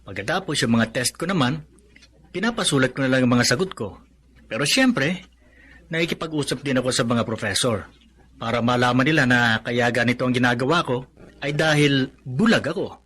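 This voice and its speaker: An actor with a Filipino accent playing an earnest, hardworking young blind student in a radio drama. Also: male voice, medium pitch, fairly quick, a bit bright, slightly rough. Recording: radio drama with noisy ambience behind.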